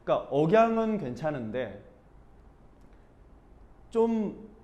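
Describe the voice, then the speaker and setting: A man from Busan giving an informal class talk as a speech and expression instructor, animated and slipping into acted-out dialect examples. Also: a little high, slow, muffled recording. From Busan, South Korea.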